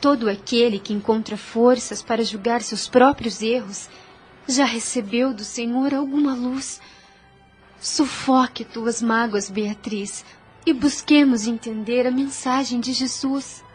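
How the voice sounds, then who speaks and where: An actress playing a gentle, devout young servant woman in an audio soap opera, speaking earnestly and soothingly in formal phrases with a Brazilian accent. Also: a little high, measured pace, faint music behind, lightly acted. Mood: gently reproving. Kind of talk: radio soap opera acting.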